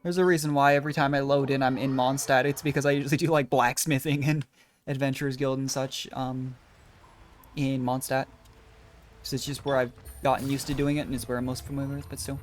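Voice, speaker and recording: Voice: medium pitch, level tone, fairly quick, soft background music. A game streamer giving casual playthrough commentary, rambling and thinking aloud.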